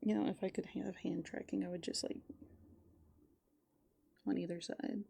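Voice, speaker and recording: A soft-spoken woman doing rambling, playful ASMR as a raccoon, musing aloud and often slipping into whispers. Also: medium pitch, level tone, normal speed, gravelly, slightly rough. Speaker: ASMR host. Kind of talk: furry roleplay ASMR, improvised rambling.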